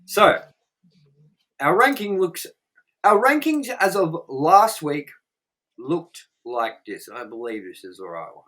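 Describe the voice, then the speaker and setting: A man's voice: fairly high pitch, very animated, takes it slowly, pauses often, a bit bright, poor audio. A man hosting a casual movie review show, jokey and loose, swearing freely. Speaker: show host.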